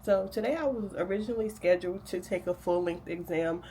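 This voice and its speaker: Young woman, nasal, stuffy from a cold, lowish voice, chatty, rambling, casual vlog. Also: brisk, mellow, slight background noise. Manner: chatty, rambling, self-amused, lots of fillers. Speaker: vlogger and graduate student. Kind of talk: casual day-in-the-life vlog chat. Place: at home getting ready.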